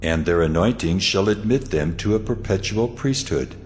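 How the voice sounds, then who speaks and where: A man playing the Lord God, a solemn deity giving measured, authoritative instructions in a dramatized scripture recording. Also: sits low, slight background noise, close to an everyday voice. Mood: solemn, commanding.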